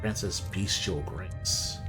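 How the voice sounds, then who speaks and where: A man's voice: sits low, slow pace. A man giving casual gameplay commentary as the host of a gaming video. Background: game music.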